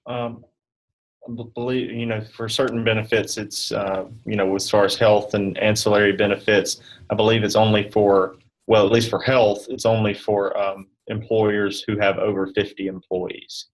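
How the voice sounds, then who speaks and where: A man's voice: on the low side, little pitch movement, normal speed, poor audio. A man giving informal, explanatory answers as an interview guest. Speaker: insurance specialist guest.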